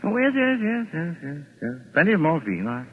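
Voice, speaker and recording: An actor playing a polite, wry gentleman doctor with courteous, formal diction in a 1940s radio drama. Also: a little high, wildly swooping pitch, fairly quick. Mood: flustered. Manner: courteous, formal diction, stammers when pressed. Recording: tinny band-limited old radio recording.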